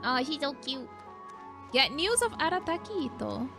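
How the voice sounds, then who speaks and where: A woman's voice: sits high, sing-song, moderate pace, creaky. A woman gaming streamer giving casual, playful reactions during a let's play. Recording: game music behind the voice.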